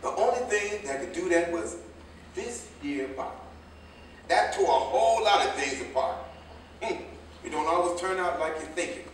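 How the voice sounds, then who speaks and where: A man's voice: high-pitched, animated, normal speed, pauses often. A man in a staged play reading playing an older American tailor turned driver, a proud, hard-drinking, folksy storyteller who rambles. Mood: rueful. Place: indoor theater stage, recorded from afar. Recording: echoey stage, distant mic, faint noise.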